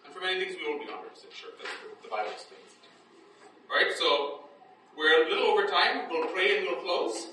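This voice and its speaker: A man's voice: high-pitched, fairly quick, sharp tone, slight background noise. A man leading a Bible study class, explaining casually with frequent "right?" checks and restarts, then praying aloud. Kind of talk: casual teaching, then spoken prayer. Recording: echoey room, distant mic, faint ambience.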